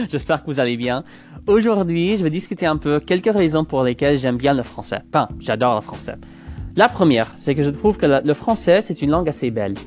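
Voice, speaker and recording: A man's voice: fairly high pitch, animated, brisk, darkish tone, soft background music. A Canadian man in his twenties with a France-style French accent, hosting a casual solo podcast in a rambling, hesitant way that keeps restarting phrases. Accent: Parisian-style French learned in France. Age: 20s. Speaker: podcast host.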